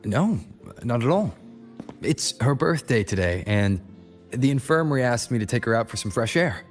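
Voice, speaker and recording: An actor playing a calm, courteous young traveler hero in a video game. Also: male voice, medium pitch, moderate pace, soft background music, close to an everyday voice. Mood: calm, reassuring.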